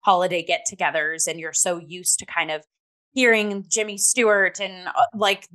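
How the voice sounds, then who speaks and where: A young adult woman hosting a casual review chat in an enthusiastic, expressive and emphatic way. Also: fairly low pitch, normal speed. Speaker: host and theatre reviewer.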